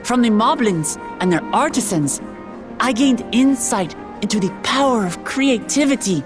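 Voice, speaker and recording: A woman voicing an earnest young princess and hopeful heir, giving a measured, sincere speech in a video game cutscene. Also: fairly high pitch, lively intonation, music in the background, lightly acted. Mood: warm, moved.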